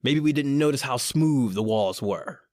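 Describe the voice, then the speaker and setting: A man's voice: mid-range voice, animated, moderate pace, darkish tone, dry recording, studio quality, close to an everyday voice. An actor playing a tough, impatient crook who tells his horror tale in slangy, colloquial old-time radio style. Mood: wry, rationalizing. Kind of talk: old-time radio horror acting with first-person narration.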